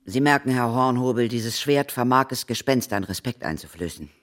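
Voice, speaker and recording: A man's voice: medium pitch, expressive, a bit bright, close to an everyday voice. Grave, learned ghost-hunter mentor played by a man. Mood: instructive. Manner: deliberate, formal, lecturing. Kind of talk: children's radio play acting.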